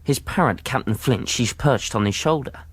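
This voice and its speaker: A man's voice: mid-range voice, moderate pace, husky, no room sound. A man playing a young adventurer who narrates his ordeal in a radio drama, in tense, rustic storytelling.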